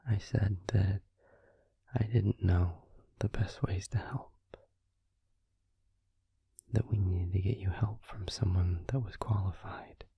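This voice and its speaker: Guy doing a soft whispery vampire boyfriend, comforting asmr roleplay, really slow and low. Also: steady tone, highs cut off, heavily acted. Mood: tender, reassuring. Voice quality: breathy, husky whisper. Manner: soft, soothing, hesitant pauses. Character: gentle, supportive vampire boyfriend, comforting after a nightmare. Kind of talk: intimate ASMR roleplay audio.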